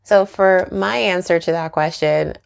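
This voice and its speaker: A woman's voice: on the low side, unhurried, vocal fry, smooth. A woman vlogging to camera about her faith, speaking earnestly and encouragingly, with frequent small laughs. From Trinidad and Tobago. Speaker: YouTuber and author. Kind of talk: faith-based vlog talk to camera.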